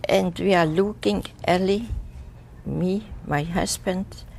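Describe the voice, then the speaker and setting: An older woman recounting a memory haltingly in English, with foreign word order, in an interview. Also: on the low side, fairly even intonation, gravelly, darkish tone. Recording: archival TV interview, faint noise.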